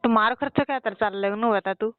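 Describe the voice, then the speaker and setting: A woman's voice: a little high, fast, clean tone, no room sound. Woman, Haryanvi accent, insistent, questioning domestic phone talk, dry telephone-quality recording. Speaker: wife on a phone call. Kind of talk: informal domestic argument over the phone. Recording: muffled narrowband phone call recording.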